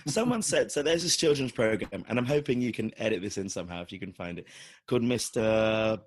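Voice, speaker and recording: A tired British man with a husky voice, co-hosting a podcast in casual, teasing chat. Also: fairly low pitch, animated, a little fast, no echo. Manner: teasing, playful. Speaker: podcast co-host.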